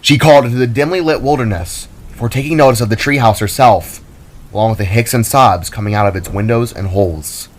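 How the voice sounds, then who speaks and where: A man's voice: mid-range voice, fairly quick. A man giving a dramatic scripted narration of a fantasy story, as its narrator. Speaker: author and narrator. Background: soft music.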